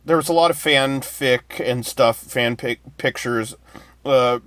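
A man's voice: medium pitch, a little slow, a bit bright, dry recording. A man in casual conversation, talking in a hedging, skeptical way. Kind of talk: casual chat.

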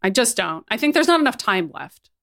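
Expressive woman chatting bluntly on a podcast. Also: on the high side, a little fast, vocal fry. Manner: informal, blunt, swears freely. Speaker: podcast co-host. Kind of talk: casual fan podcast chat.

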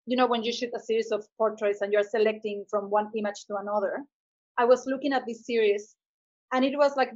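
A woman from Mexico, speaking thoughtfully in an interview and often pausing with fillers as she searches for words. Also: mid-range voice, dull audio. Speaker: artist guest. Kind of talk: conversational interview.

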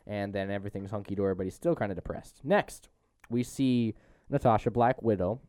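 A young man hosting a podcast, chatting casually through a movie review with animated recaps and informal asides. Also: on the low side, fairly quick, smooth, no room sound, studio quality. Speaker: podcast host.

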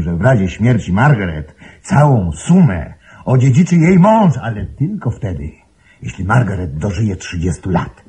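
An actor playing a hot-headed, defiant intruder in a radio play, protective and accusing, with an agitated, urgent delivery. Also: male voice, low-pitched, mellow, a little hiss or hum. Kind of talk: Polish radio play acting.

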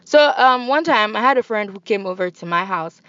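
A young woman with a Nigerian accent hosting a podcast in casual, animated chat. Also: mid-range voice, brisk, dry recording, muffled recording. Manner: chatty, animated, laughs and switches into pidgin. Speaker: podcast host.

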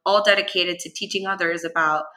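A woman in her thirties from Venezuela, a startup founder talking casually as a podcast guest, relaxed and chatty. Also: lowish voice, a little fast. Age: 30s. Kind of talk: casual interview talk.

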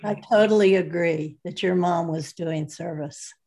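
A woman in a meditation group discussion, agreeing warmly and speaking plainly. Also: fairly low pitch, a little slow.

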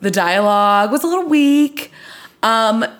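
A woman's voice: on the high side, expressive, measured pace. A woman co-hosting a podcast, talking through her opinions on television in a casual, conversational way. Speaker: podcast co-host. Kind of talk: casual TV review chat.

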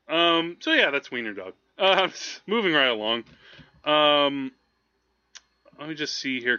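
A man co-hosting a movie podcast, talking casually in a halting, digressive, amused way. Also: mid-range voice, sharp tone, no room sound. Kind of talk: casual film review chat. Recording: slightly dull, lo-fi podcast audio.

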